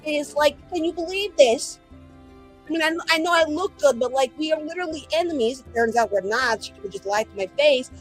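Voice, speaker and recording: Woman acting exasperated, high-voiced vain lady mage. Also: animated, brisk, faint music behind. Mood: aggrieved, shrill. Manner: sarcastic, foul-mouthed ranting. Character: exasperated, vain, profane woman mage sending dream messages.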